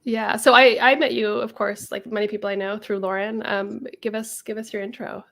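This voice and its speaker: Woman cohost w a cold, nasal, kinda gravelly, chatty. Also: mid-range voice, level tone, brisk, fairly bright tone. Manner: chatty, laughs easily, many ums. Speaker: co-host. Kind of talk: casual chat.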